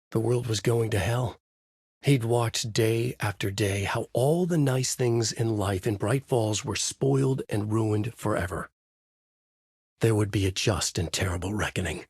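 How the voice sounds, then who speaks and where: A man narrating a dark thriller game as a brooding, haunted writer, in a deliberate, literary, dramatic delivery. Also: lowish voice, breathy edge, no echo, clean studio sound. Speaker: voice actor playing a writer protagonist who narrates.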